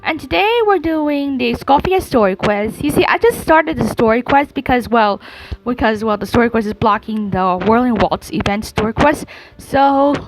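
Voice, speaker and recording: High, animated woman gamer. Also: normal speed, poor audio. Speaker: gaming channel host. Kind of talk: casual gameplay commentary. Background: game music.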